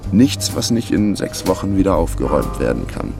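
A man's voice: deep voice, big pitch swings, a little fast, vocal fry, over music, heavily acted. An actor playing a wry, laconic, down-on-his-luck man of thirty in a radio crime drama. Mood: wry, brooding. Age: 30s. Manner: laconic, sardonic. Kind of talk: radio crime drama first-person narration.

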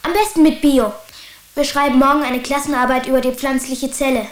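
A woman's voice: sits high, steady tone, fairly quick, close to an everyday voice. A woman playing an eager, candid schoolboy in a German children's radio drama. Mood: candid, chatty. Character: Anton, eager schoolboy, candid and a little stubborn. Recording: hissy, roomy recording.

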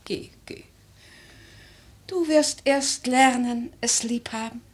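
An actress playing a strong-willed, life-loving old aristocratic grandmother in a classic German radio drama, speaking in an expressive, deliberate, literary way. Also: fairly high pitch, breathy edge. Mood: solemn, fervent. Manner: theatrical, deliberate, expressive, sometimes dropping to a whisper.

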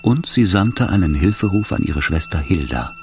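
A man narrating a literary radio drama in a measured, solemn manner. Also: low voice, big pitch swings, noisy background. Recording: orchestral music behind the voice.

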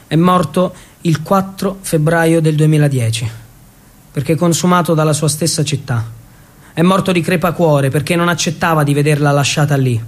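Casting: male stage storyteller, high-pitched voice, theatrical and ironic delivery of a narrative monologue. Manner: theatrical, with deliberate repetitions and ironic asides. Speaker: actor and storyteller.

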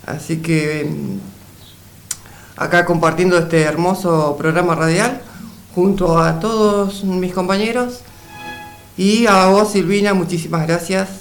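A man with a slightly high-pitched voice co-hosts a community radio show. He talks casually and courteously, as if in conversation. There is music behind him. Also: steady tone, unhurried. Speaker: radio co-host.

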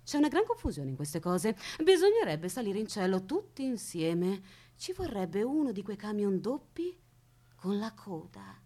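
A woman's voice: mid-range voice, big pitch swings, moderate pace, mellow. An actress playing an eccentric, dotty unmarried woman who keeps a captive, speaking with childlike certainty and coy formal politeness in a radio play. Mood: dreamy. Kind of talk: theatrical radio drama acting.

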